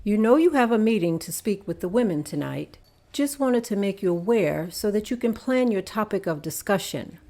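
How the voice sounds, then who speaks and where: A woman at a table read playing an agreeable club member and secretary in a plain, steady voice. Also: mid-range voice, moderate pace, natural voice.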